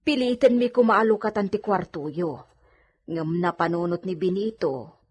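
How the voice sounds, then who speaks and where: Woman as a husky, outspoken Ilocano maidservant. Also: fairly low pitch, measured pace, darkish tone. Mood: concerned. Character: outspoken, protective maidservant to a young lady. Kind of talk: Ilocano radio drama acting.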